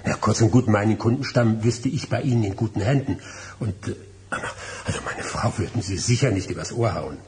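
Actor as a formal, low-voiced middle-aged lawyer. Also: male voice, darkish tone, a little hiss or hum, close to an everyday voice. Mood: formal, guarded. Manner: formal, courteous, measured, slightly halting. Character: formal, cautious middle-aged lawyer, trying to stay composed. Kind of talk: 1990s German radio drama acting.

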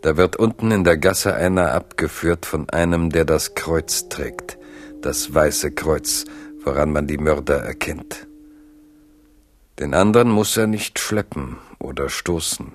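Very deep, slow male narrator. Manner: measured, grave storytelling. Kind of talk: literary radio drama narration.

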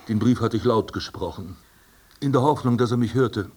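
A man's voice: medium pitch, normal speed, a little mellow, slightly rough, natural voice. A man playing a haughty, self-assured dead aristocrat in a radio drama, speaking in formal, ornate and pompous phrases. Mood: wry, musing. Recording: long hall reverb, music underneath.

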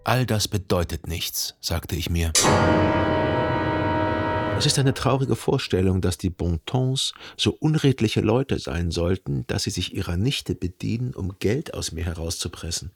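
An actor playing a brooding, obsessive jilted lover who narrates his thoughts in an introspective, deliberate way in a literary radio drama. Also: deep voice, animated, gravelly, heavily acted. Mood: agitated brooding. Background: music.

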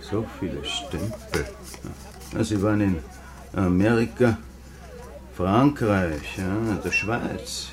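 An actor playing an officious, suspicious Nazi border official in a German radio drama, questioning in a probing, condescending way. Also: male voice, on the low side, slow pace, pauses often. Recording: busy railway crowd noise behind.

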